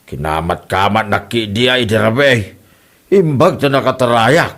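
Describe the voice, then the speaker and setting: A man's voice: mid-range voice, a little slow, fairly bright tone. A man playing a grumbling villager in a comedy drama, with an Ilocano Filipino accent. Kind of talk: amateur comedy radio-style drama.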